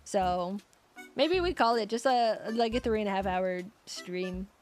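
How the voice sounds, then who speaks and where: A woman's voice: mid-range voice, a little slow, a little mellow, faint music behind. A young woman streamer in casual chat with her viewers, rambling and giggly with lots of fillers, her voice getting scratchy.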